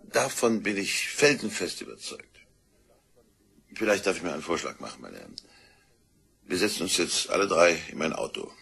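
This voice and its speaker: An actor playing a brusque, dryly sardonic chief inspector in a German radio crime drama, brisk and authoritative. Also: male voice, low-pitched, big pitch swings, gravelly, a bit bright, slightly rough, full character voice. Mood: dry, controlled. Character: brusque, dry, commanding male police chief inspector. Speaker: police detective.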